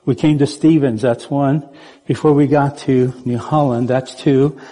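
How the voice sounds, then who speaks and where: A man's voice: mid-range voice, fairly even intonation, a little slow, mellow. A man born in Puerto Rico preaching as a guest speaker in a church, chatty and playful, putting questions to the congregation. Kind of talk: sermon. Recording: church hall with long reverb.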